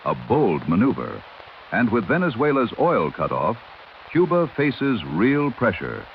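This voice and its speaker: Male announcer, low and highly inflected, formal old-time 1930s radio news delivery on a dull, lightly hissy recording. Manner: formal, dramatic, old-fashioned newsreader delivery. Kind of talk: 1930s radio newscast, scripted narration. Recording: muffled vintage radio sound with hiss.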